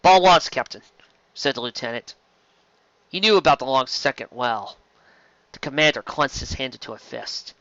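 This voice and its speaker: Male narrator for dramatic sci-fi fiction: bright, intense delivery, mid-pitched, slightly dull recording. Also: lively intonation, normal speed, long pauses, no room sound. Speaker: story reader.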